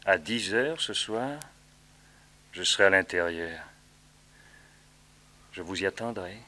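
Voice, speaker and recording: A sinister scar-faced villain of an old radio serial. His voice is low and crisply bright, with measured, mock-polite phrasing and calm menace. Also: heavily acted. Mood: conspiratorial.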